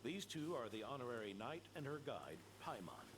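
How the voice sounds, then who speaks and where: A man's voice: mid-range voice, very animated, moderate pace, natural voice. An actor playing an older male knight with a dry, reassuring manner. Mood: steady. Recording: streamed game audio with noise.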